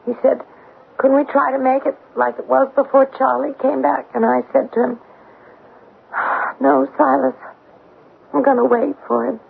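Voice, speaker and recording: A woman's voice: high-pitched, level tone, moderate pace, gravelly, long pauses, husky, full character voice. An actress playing an ailing, lovelorn but steadfast young small-town woman in a radio drama, speaking plaintively and earnestly. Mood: sad, resolute. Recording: muffled, lo-fi old radio broadcast.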